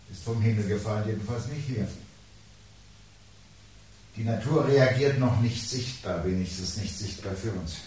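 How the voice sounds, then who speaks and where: An actor playing a brooding, articulate man who calmly awaits the world's end in a radio play, speaking in a measured, formal, sardonic way. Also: lowish voice, close to an everyday voice, poor audio. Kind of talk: 1970s German radio play acting. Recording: 1970s radio production with audible background noise.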